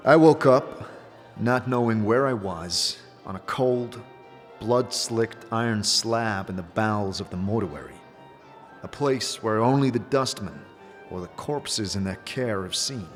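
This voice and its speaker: A man voicing a grim, wry amnesiac adventurer in a fantasy audio drama, speaking with deliberate gravity. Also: fairly low pitch, big-room sound, faint music behind, lightly acted.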